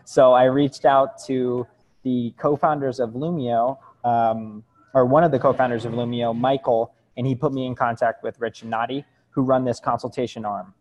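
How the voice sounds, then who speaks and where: A man introducing a call as director of communications, speaking hesitantly with frequent pauses. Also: medium pitch, level tone, lo-fi sound. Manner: hesitant, many ums. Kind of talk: meeting introduction. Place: video call.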